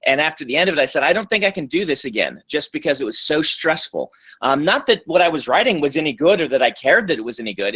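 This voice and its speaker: Chatty man pausing with ums. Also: medium pitch, a bit bright, slightly rough. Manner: thoughtful, frequent ums and restarts. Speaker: author being interviewed. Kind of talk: casual interview answers.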